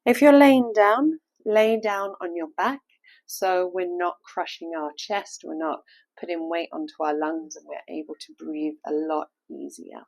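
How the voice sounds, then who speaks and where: A woman leading a guided meditation, giving gentle step-by-step instructions in a soothing, unhurried manner. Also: fairly low pitch, fairly even intonation, no echo.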